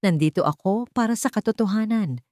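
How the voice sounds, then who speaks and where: A woman's voice: medium pitch, animated, a little fast, darkish tone, dry recording, close to an everyday voice. A grave young man, voiced by a woman narrator in an audio drama. He is wounded but keeps a controlled, solemn manner. The sound is dry. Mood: calm, grave.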